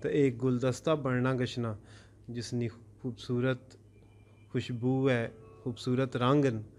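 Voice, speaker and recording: A man's voice: medium pitch, fairly even intonation, slow pace, a little mellow. A man giving a speech of thanks in flowery, formal phrasing.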